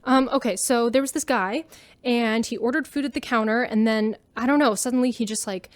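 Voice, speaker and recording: A woman's voice: a little high, brisk, dry recording, natural voice. A woman playing an awkward, shaken young passenger giving a hesitant, rambling, slangy eyewitness account in a radio drama. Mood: awkward, unsettled. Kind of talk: sci-fi radio drama call-in.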